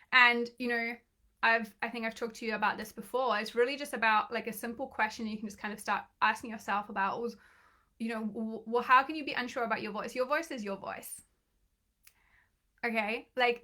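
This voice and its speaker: Woman coach, bright and fairly high voice, slightly croaky, chatty and encouraging with lots of restarts. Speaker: business coach. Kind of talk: live online training.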